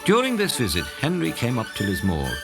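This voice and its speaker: A man's voice: medium pitch, wildly swooping pitch, a little fast, gravelly. A man with an Irish accent, a monk acting as a heritage tour guide, telling local history as scripted narration with wry humorous asides. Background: background music.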